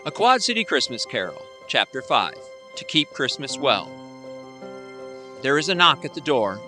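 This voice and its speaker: A man's voice: medium pitch, big pitch swings, moderate pace, bright, forceful tone, faint music behind. A man narrating a scripted radio play with dramatic storytelling delivery.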